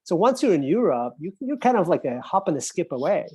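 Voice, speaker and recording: A man's voice: fairly high pitch, big pitch swings, brisk, darkish tone, husky, no echo. A man chatting casually as a guest, in an easygoing way with many fillers. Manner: easygoing, lots of "like". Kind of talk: casual podcast chat.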